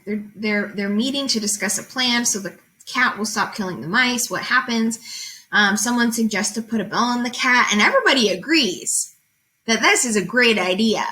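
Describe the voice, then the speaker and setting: A woman's voice: on the high side, expressive, normal speed, bright, forceful tone, rough recording. A woman reading fables aloud and explaining them as a teacher, in an informal, conversational way with guiding questions.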